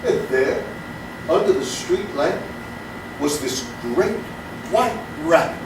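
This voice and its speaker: An actor playing a gentle, courtly, dreamy barfly, telling tales unhurriedly in a theatrical comedy. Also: male voice, fairly high pitch, expressive. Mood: fond, amused. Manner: genteel, unhurried storytelling. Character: gentle, courtly, dreamy male barfly with an imaginary rabbit friend. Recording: distant, very echoey, noisy stage recording.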